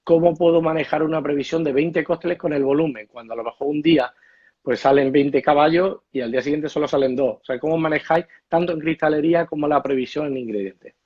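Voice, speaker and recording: Husky chatty host on a video call. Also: male voice, fairly high pitch, fast, darkish tone. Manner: chatty, rambling questions with quoted asides. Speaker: host bartender interviewer. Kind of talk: casual interview over video call. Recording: muffled lo-fi call audio.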